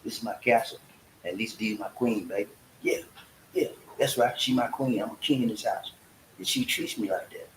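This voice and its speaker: A man's voice: lowish voice, normal speed. A man, hosting a casual livestream chat in a folksy, preachy way. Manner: folksy, preachy, breaks into singing. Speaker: vlog host. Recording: echoey room with faint hiss.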